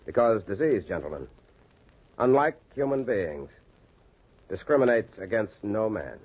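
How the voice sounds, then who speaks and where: An actor playing an earnest, confident doctor in 1940s radio style, with an emphatic, oratorical manner and a husky voice. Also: male voice, lowish voice, very animated, unhurried, full character voice. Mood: impassioned. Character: earnest, confident male doctor addressing skeptical colleagues. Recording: dull, lo-fi 1940s radio recording.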